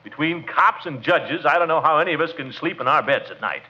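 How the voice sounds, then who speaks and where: Blustering commissioner: irritable, blunt, very animated intonation, vintage radio comedy. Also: male voice, on the high side, brisk. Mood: irritated. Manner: blunt, impatient, grumbling. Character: blustering, irritable small-town commissioner, middle-aged man. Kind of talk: 1940s radio comedy acting. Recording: muffled 1940s radio broadcast.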